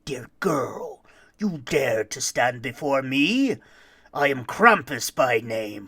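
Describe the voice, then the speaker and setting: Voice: mid-range voice, fairly bright tone, breathy edge, pitched below the performer's own voice, exaggerated voice. A performer voicing a stern, menacing holiday monster who punishes naughty children, speaking in a solemn, commanding storybook manner.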